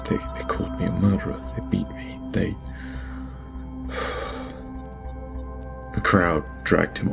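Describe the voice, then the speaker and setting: An actor playing a guilt-ridden lord in an audio drama, dictating a private log in halting, stammering speech broken by sighs. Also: male voice, low voice, creaky, full character voice. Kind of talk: audio drama acting, personal log monologue. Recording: music behind the voice. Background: music.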